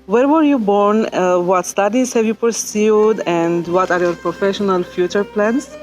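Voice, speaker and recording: A woman's voice: medium pitch, unhurried, a little mellow, faint music behind. Polite, formal woman interview host.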